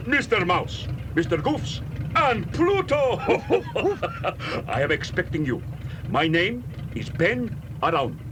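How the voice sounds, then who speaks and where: A man's voice: high-pitched, sing-song, drawn-out words, noisy background, exaggerated voice. A man playing a jolly, hearty, big bearded desert guide with a put-on foreign accent and broken phrasing, in a cartoon storybook with music behind. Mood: jolly, welcoming. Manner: broken English, hearty, reassuring.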